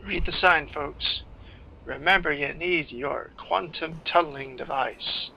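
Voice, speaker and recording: Man, gravelly voice, fairly high, playful game commentary, loud game music behind. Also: slow pace, noisy background. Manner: playful, eager exclamations.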